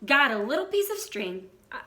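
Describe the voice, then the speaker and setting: Woman reading as a naive young boy pig, boastful, excitable, swooping high pitch, storybook character, roomy echo. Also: moderate pace, heavily acted. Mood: eager.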